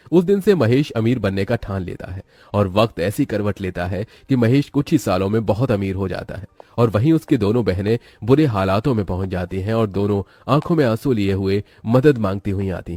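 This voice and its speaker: A man's voice: fairly low pitch, normal speed, mellow, dry recording. A man narrating a scripted moral story in a dramatic storytelling style.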